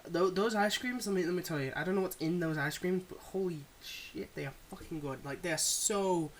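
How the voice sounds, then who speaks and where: A man's voice: sits high, brisk, a little hiss or hum. Male audio creator, off-script casual chat; rambling, informal, freely swearing.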